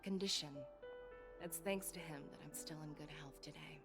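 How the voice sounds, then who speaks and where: An actress voicing a battle-hardened, composed and frank general in a video game, grave and deliberate in her delivery. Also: low voice. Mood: composed, familiar. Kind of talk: scripted video game voice acting. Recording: music under the voice.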